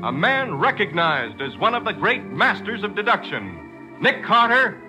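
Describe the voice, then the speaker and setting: A man's voice: sits high, huge pitch swings, measured pace, poor audio. An American man announcing in scripted 1940s radio style, with a dramatic, emphatic and polished delivery. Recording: 1940s radio broadcast with music.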